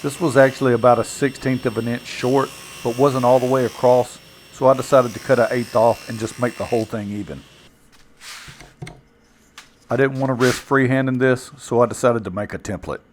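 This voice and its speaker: A man's voice: mid-range voice, steady tone, normal speed, slight background noise. A man casually narrating his own build project in a plainspoken, folksy, self-deprecating way. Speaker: woodworking video maker and narrator.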